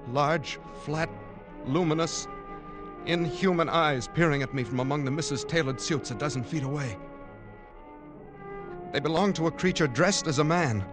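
An actor playing a nervous, reclusive poet with an intense literary delivery in a 1940s radio drama. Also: male voice, fairly high pitch, lightly acted. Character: nervous, reclusive male poet narrating his diary.

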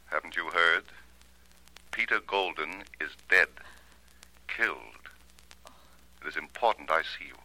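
An actor playing a sly, insistent fortune teller in a 1940s radio drama, speaking formally and deliberately with theatrical mystery. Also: male voice, low voice, pushed and bright, exaggerated voice, rough recording. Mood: insinuating, pressing.

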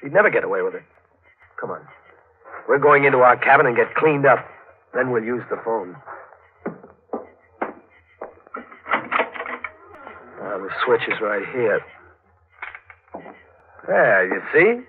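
A man's voice: mid-range voice, lively intonation, normal speed, long pauses, natural voice. A man with an American accent playing an urgent, protective young bridegroom in a 1940s radio thriller, giving clipped commands and reassurances. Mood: firm, dismissive. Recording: tinny, noisy old radio broadcast.

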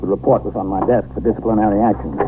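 A man playing a composed, authoritative American precinct captain, measured and terse, in a scripted 1950s radio drama. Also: fairly low pitch, fairly even intonation, close to an everyday voice. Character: composed, authoritative police precinct captain narrating his shift. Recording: noisy, narrow 1950s radio recording.

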